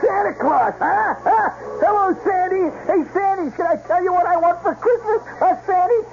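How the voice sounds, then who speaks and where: A man puts on a gleeful bully of a boy, hollering taunts in a high, jeering voice. It is comic radio storytelling with dull vintage sound. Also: moderate pace, background noise. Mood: mocking, gleeful. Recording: dull 1970s radio broadcast.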